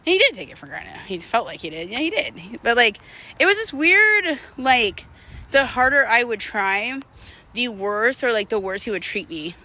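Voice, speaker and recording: A woman's voice: a little high, big pitch swings, normal speed, bright, forceful tone. A woman in her thirties talking in a candid, rambling, slangy way, as in a casual confessional walking vlog. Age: 30s. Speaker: vlogger.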